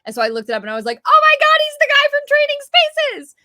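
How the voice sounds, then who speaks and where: A woman's voice: extremely high pitch, huge pitch swings, quick pace, sharp tone, no room sound, studio quality. A woman giving her chatty, enthusiastic take as a panelist in a casual podcast discussion.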